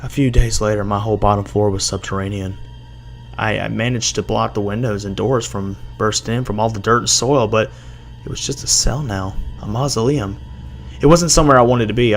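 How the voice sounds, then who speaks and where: A man with a gravelly voice giving a halting, dramatic first-person reading of a horror story as an amateur narrator. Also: fairly low pitch, fairly even intonation, faint music behind. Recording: noisy amateur home recording.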